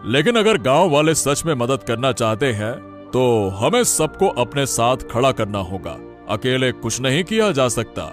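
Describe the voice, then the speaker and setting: A man voicing a cautious village friend, lively pitch. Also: normal speed, soft background music, natural voice. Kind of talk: cartoon story voice acting.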